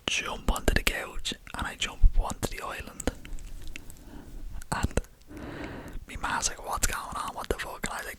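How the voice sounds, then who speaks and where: A young man with an Irish accent, whispering an animated story to his viewers and swearing casually as he goes. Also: poor audio. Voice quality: whispering throughout. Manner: animated, swears casually, addresses listeners as lads. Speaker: vlogger telling a story.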